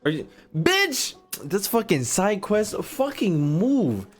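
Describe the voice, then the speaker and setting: A man's voice: sits high, huge pitch swings, slow, breathy edge. A young adult American man giving casual, joking, profane commentary as he streams a game. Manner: slangy, profane, joking, repeats lines back. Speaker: gaming streamer. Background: faint game music.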